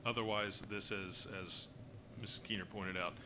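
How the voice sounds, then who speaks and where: Man, fire service official, low voice, flat monotone, steady explanations, noisy council chamber. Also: normal speed. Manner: steady, explanatory, frequent fillers and restarts. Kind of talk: answering questions at a council meeting. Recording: noisy meeting room recording.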